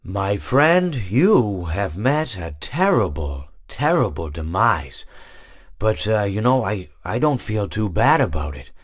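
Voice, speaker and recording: A man's voice: on the low side, very animated, slow pace, faint music behind, full character voice. A man voicing a chatty, rambling animatronic in a game, stammering and repeating himself.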